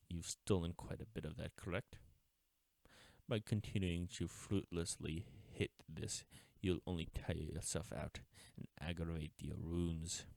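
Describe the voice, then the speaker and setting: An actor playing an uptight government agent in an audio roleplay, speaking formally and deliberately, smug yet mourning a lost friend. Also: male voice, low-pitched, fairly even intonation, gravelly, darkish tone, dry recording, exaggerated voice. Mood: smug, taunting. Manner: formal, deliberate, taunting with wistful undertones. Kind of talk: scripted audio roleplay drama, addressing the listener.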